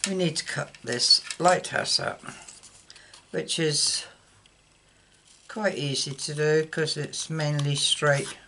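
An older woman giving an unscripted card-making demonstration, haltingly thinking aloud as she works at a table indoors. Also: sits low, steady tone, pushed and bright. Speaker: craft tutorial host. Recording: clear background noise, clicks.

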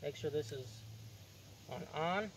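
A man's voice: a little high, lively intonation, a little slow, heavy background noise. A young man hosting a casual outdoor vlog, chatting easily and repeating words for emphasis, recorded outdoors in a backyard on a nearby camera mic. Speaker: vlog host. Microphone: camera mic on a tripod nearby.

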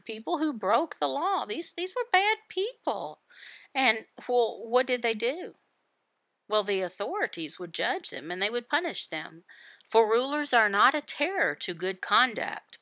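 A woman voicing a Bible devotional, explaining it phrase by phrase in a deliberate manner with pauses. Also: on the high side, bright, forceful tone, no echo. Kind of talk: Bible teaching, voiced devotional.

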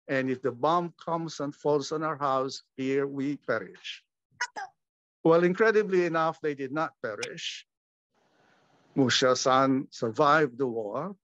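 An older man speaking at a memorial, measured and earnest, pausing often, talking from a small room at home over a video call. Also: medium pitch, fairly bright tone, no echo. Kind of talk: slow reflective remembrance. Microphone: video call webcam. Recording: dull lo-fi video call audio.

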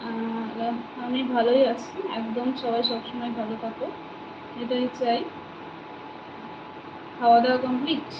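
Woman, high voice, casual live-stream host who prompts viewers and repeats herself; dull, noisy home recording. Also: little pitch movement, measured pace, pauses often. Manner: repeats viewers' names and questions, thanking and prompting them. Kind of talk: casual live chat with viewers, reading out comments. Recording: dull, echoey live stream with clear background noise.

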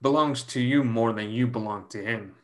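A man's voice: medium pitch, moderate pace, a bit bright, natural voice. A man playing an insinuating, flirtatious suitor, coaxing and needling in stage dialogue. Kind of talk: dramatic dialogue in a play reading. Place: indoors at home on a video call.

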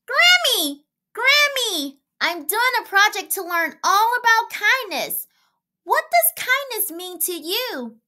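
Woman as an eager, very high-pitched little girl. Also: slow pace, heavily acted. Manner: sing-song, excited, calling out. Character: eager, sweet, inquisitive young schoolgirl.

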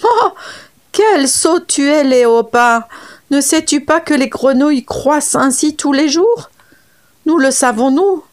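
Woman doing a high, mocking gazelle. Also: expressive, slow pace. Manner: scornful, lecturing. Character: mocking know-it-all female gazelle.

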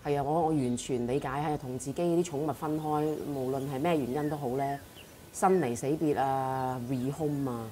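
A woman's voice: rumbling low, normal speed, mellow, a little hiss or hum. A woman with a Hong Kong Cantonese accent chatting casually and sharing personal anecdotes as a podcast co-host.

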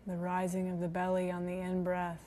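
A woman with a lowish voice leads a guided meditation, speaking gently and soothingly. Her intonation stays very flat and steady, with long pauses between phrases. There is noticeable background noise in the recording. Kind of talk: guided body scan meditation.

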